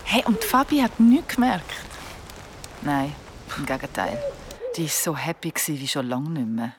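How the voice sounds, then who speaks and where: A woman's voice: deep voice, very animated, normal speed, background noise. An actress playing a frightened, urgent woman trapped in a mirror in a horror audio drama. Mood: troubled, conversational. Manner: urgent, exclamatory. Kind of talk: German-language horror audio drama acting. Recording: music behind the voice.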